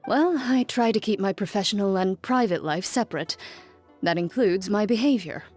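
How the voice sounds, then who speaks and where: A woman's voice: medium pitch, moderate pace, a little mellow, soft background music, natural voice. A woman voicing a cool, composed, formal and reserved woman in a video game. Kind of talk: game voice acting.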